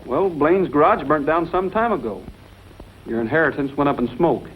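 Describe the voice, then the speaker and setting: An actor playing a calm, earnest cowboy hero in an old western, speaking considerately. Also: male voice, on the high side, normal speed, phone-quality sound, natural voice. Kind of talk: old western film acting. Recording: thin, lightly noisy vintage film soundtrack.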